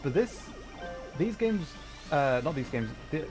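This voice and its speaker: A man's voice: a little high, big pitch swings, unhurried. Rambling man streamer over music. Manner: rambling, thinking aloud. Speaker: livestream host, gamer. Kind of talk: casual gaming livestream commentary.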